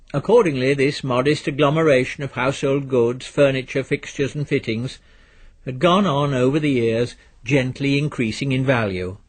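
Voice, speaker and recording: A man reading literary fiction as a narrator, in a measured, precise and dry storytelling manner. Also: mid-range voice, slightly rough. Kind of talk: scripted literary audiobook narration.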